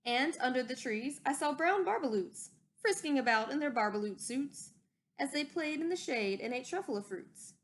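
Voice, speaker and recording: An old, secretive inventor from a storybook as a woman reads him, eager to justify himself. The voice is high and emphatic, with an excited recounting manner. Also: moderate pace, a little hiss or hum. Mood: nostalgic, excited.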